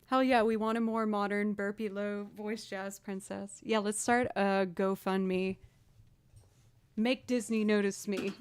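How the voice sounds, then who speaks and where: Chatty low-voiced woman streamer. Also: flat delivery, measured pace, clean tone, no room sound. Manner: chatty, rambling, self-deprecating. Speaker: streamer and musician. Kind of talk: casual chat with live chat.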